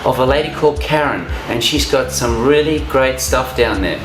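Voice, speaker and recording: A chatty man hosts a travel show in an upbeat, easygoing way and drops casual local slang. His mid-pitched voice sounds a bit roomy, with soft music playing underneath. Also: lively intonation, moderate pace.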